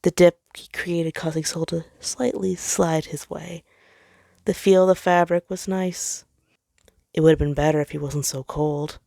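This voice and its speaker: A woman's voice: low voice, fairly even intonation, a little slow, gravelly, warm tone, no room sound, studio quality. A woman reading a story aloud as dramatic scripted narration. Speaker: fan fiction reader.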